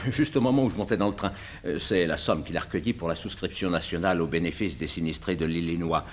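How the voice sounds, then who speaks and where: An actor playing a polite, fretful provincial gentleman in a 1960s French radio mystery, with a hesitant conversational manner. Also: sits low, fast, natural voice. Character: respectable, fretful provincial gentleman hotel guest carrying money. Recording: noisy old radio drama recording.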